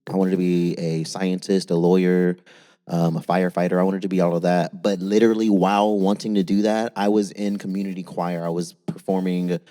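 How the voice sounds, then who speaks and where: A man in his thirties, a singer chatting casually as a podcast guest, animated and self-deprecating. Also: deep voice, brisk. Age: 30s. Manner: animated, self-deprecating, breaks into playful voices.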